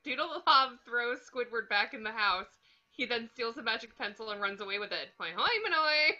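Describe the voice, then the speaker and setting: A woman's voice: a little high, normal speed, bright, forceful tone. A woman narrator reading stage directions from a script, recorded with slightly dull, lo-fi sound.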